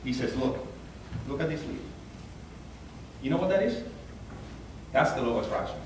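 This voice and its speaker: A man with a Puerto Rican accent giving an earnest, animated inspirational talk as a guest speaker at a church. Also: moderate pace, background noise. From Puerto Rico. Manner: earnest, animated, rises in pitch when stressing a point. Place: large church hall. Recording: echoey hall, taken from far off.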